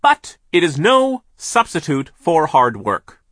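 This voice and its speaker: A man voicing a domineering, stingy mill boss for an audiobook, blustering and pompous, with drawn-out, emphatic words. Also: a little high, wildly swooping pitch, no room sound, clean studio sound, pitched above the performer's own voice, heavily acted. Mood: sharp, dismissive. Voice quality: forceful, drawn-out emphatic delivery. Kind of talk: audiobook character voice.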